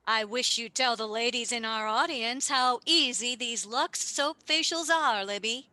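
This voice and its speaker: A woman's voice: on the high side, a little slow, pushed and bright, dry recording. A woman playing a genial, salesmanlike radio announcer delivering 1940s-style advertising. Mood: genial, persuasive.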